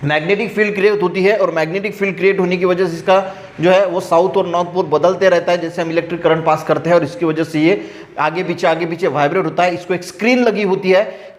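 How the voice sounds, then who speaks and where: Male science teacher, Indian accent, high-pitched voice, patient step-by-step repetition, recorded in an echoey room. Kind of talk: classroom explanation alternating read-out textbook sentences with explanation.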